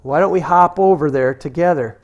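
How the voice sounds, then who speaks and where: A man's voice: a little high, fairly quick, dull audio, soft background music, natural voice. A man voicing a friendly, easygoing male frog in a children's story he is reading aloud.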